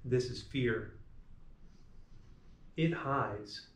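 Middle-aged man, mid-pitched voice, unhurried children's read-aloud, gentle, distant mic. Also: a little hiss or hum. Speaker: preschool teacher reading to children. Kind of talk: picture-book read-aloud for young children. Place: small classroom, indoors. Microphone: camera a few meters away. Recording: echoey room, distant mic.

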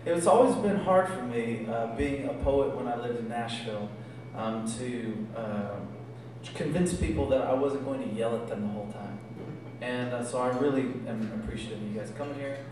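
A man's voice: mid-range voice, moderate pace, far from the mic, noisy background. A man in his thirties, a poet performing live spoken word into a stage microphone in a small club. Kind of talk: live spoken word poetry reading with casual banter. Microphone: stage microphone on a stand. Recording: echoey live club PA, music behind.

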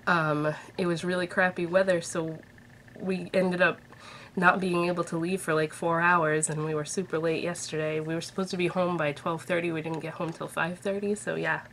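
A woman in her thirties talks to her webcam at home, her voice stuffy from a head cold. She rambles in a chatty, upbeat way, sitting a bit low in pitch. A faint hiss sits under the recording. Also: little pitch movement, normal speed, a bit bright, low-quality recording. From Massachusetts. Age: 30s. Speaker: vlogger. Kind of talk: casual chat to camera. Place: bedroom at home, indoors. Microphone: webcam at desk distance.

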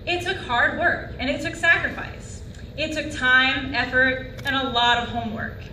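A woman's voice: high voice, fairly even intonation, unhurried, sharp tone, slight background noise. A young woman delivering an earnest commencement speech from a lectern on an auditorium stage, asking the audience questions. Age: young adult. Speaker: graduation speaker. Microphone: lectern microphone. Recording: echoey hall from far off.

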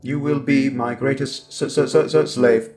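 Guy doing an evil robot overlord, cold and grandiose, slow glitchy stutter, music underneath, asmr roleplay. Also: medium pitch, fairly even intonation, a little mellow, natural voice. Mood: menacing. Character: sinister genocidal robot overlord with damaged speech module.

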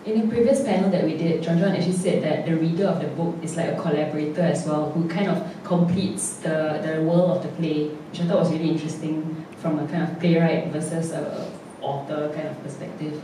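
A woman leading a stage panel, asking questions casually. Her voice is very low for a woman and rings with the long echo of a big hall, picked up from a distance, with steady room noise behind. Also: a little fast, hardly any pauses. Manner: easy, conversational questioning. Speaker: panel moderator. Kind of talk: post-show panel discussion.

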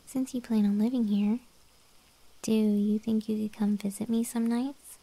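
A woman acts out a gentle gargoyle girl who guards a house at night. Her voice is a breathy, intimate whisper, very dark and mellow, with a crackle of fry. She speaks slowly and softly in an ASMR roleplay style. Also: a little high, little pitch movement, no echo. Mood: friendly, playful.

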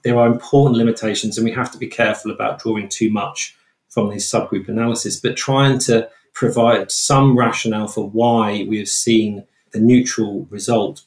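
A British man, a trauma researcher, explaining findings in an interview in a measured, technical way, with a roomy sound. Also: fairly low pitch, steady tone.

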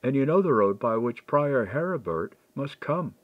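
A man's voice: medium pitch, very animated, normal speed, no room sound, highs cut off. Man voicing a silken, scheming medieval noblewoman. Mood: coaxing, sly. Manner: smooth, coaxing, persuasive.

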